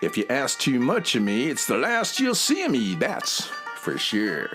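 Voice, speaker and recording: Breezy drifter among the stars, played by a man: folksy, wildly swinging pitch, clear music underneath. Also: wildly swooping pitch, a little slow. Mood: jaunty, sing-song. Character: carefree hobo constellation, dashing scruffy male drifter. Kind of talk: children's radio musical acting.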